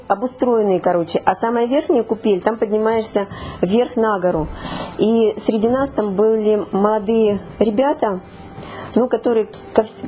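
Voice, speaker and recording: Hoarse woman, hesitant storyteller, mellow darker tone, faint noise. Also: medium pitch, rough recording. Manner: hesitant, many fillers. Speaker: participant. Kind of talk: casual storytelling.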